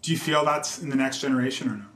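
A man's voice: mid-range voice, racing, a bit bright. A young adult man interviewing a fellow conductor, hesitant and full of restarts and "like". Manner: hesitant, restarts often, says "like" a lot. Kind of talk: conversational interview. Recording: roomy with faint ambience.

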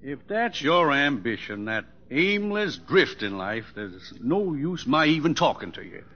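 Stern middle-aged father, husky, animated pitch swings, brusque lecturing, proud rancher, old radio drama. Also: a little slow, exaggerated voice. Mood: exasperated, lecturing. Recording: dull old radio recording.

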